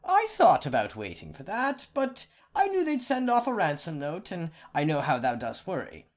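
A man's voice: a little high, moderate pace, pitched above the performer's own voice. A man voicing a clever young student telling his mother how he escaped. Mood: boastful. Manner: lively, proud storytelling.

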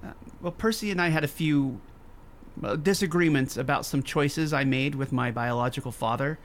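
An actress playing a protective, guarded woman doctor in a fiction podcast, with a halting delivery. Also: extremely low pitch, a little hiss or hum. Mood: uneasy, guarded. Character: protective, guarded telepathic woman doctor, quietly hurt and angry. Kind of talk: scripted fiction podcast acting.

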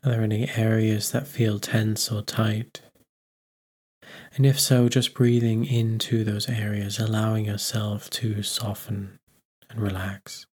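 Husky low-voiced man guiding meditation. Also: flat delivery. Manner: unhurried, gently instructive with pauses. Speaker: meditation guide. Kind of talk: guided meditation narration.

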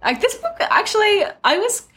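A woman's voice: extremely high pitch, sing-song, normal speed, fairly bright tone. A woman co-hosting a casual book chat podcast, giving her opinions in a jokey, slangy way. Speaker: podcast co-host.

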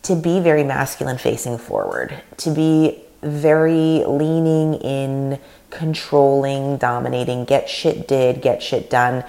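A woman's voice: a bass voice, measured pace, vocal fry. A Canadian-born woman in her thirties, whose first language is Russian, hosting a solo podcast in an earnest, rambling way. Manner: earnest, animated, rambling with restarts. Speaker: podcast host and leadership coach.

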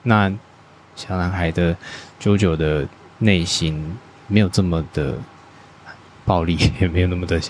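A man, a guest artist in a casual podcast interview, talking haltingly with many filler pauses and quick agreements. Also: deep voice, steady tone, slight background noise, low-quality recording. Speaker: guest artist, toy brand owner.

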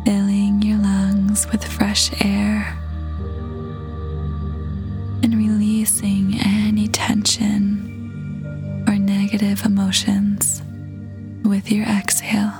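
A woman leading a guided meditation in a soft, breathy half-whisper, gentle and calm with long pauses. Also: mid-range voice. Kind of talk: guided meditation, slow soothing narration. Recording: voice over prominent background music. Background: meditation music.

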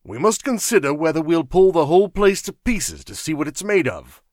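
A man voicing an impatient gentleman investigator in a storybook, questioning emphatically and in exasperation. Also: fairly high pitch, sing-song, moderate pace, dry recording, studio quality, voice pushed up.

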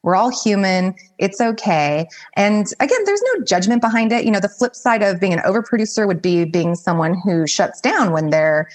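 A woman's voice: medium pitch, lively intonation, normal speed, hardly any pauses. A woman life coach giving casual, conversational advice as a podcast guest, with informal phrasing peppered with "like". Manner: informal, heavy use of "like".

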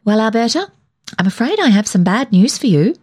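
Woman doing a self-important female house guest, higher pitched. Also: big pitch swings, fairly quick, a little mellow, husky. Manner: self-important, matter-of-fact.

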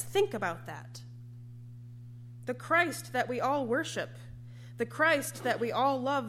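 A woman preacher delivering a sermon in a measured, emphatic way, with deliberate repetition. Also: on the high side, slight background noise.

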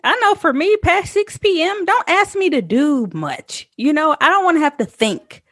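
A woman hosting a casual interview over a video call, upbeat and quick to laugh. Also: very high-pitched, animated, a bit bright, no room sound, clean studio sound. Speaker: host.

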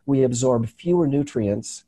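A man hosting a casual health talk show over a video call, his voice slightly raspy from a cold, talking earnestly with many restarts. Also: medium pitch, unhurried, warm tone. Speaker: host. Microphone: remote video call.

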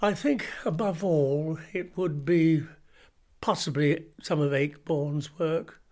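A man's voice: a little high, slow, a little mellow. A man, an actor, talking reflectively in an interview, considered and articulate.

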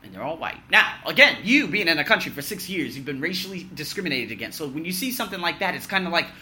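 Animated man in his thirties, bright-voiced. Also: quick pace, a little hiss or hum. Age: 30s. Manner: animated, emphatic, swinging from loud boasts to low muttering; blunt, swears freely. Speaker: vlogger. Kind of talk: personal year-in-review monologue.

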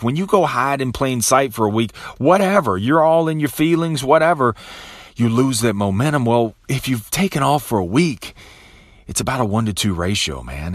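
Man, late thirties, podcast host, motivational monologue, "man" and "bro" everywhere, loud bursts then low asides, medium pitch, dry. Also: no room sound. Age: 30s. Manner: casual, profane, says "man" and "bro" constantly; swings between animated bursts and low, quiet asides.

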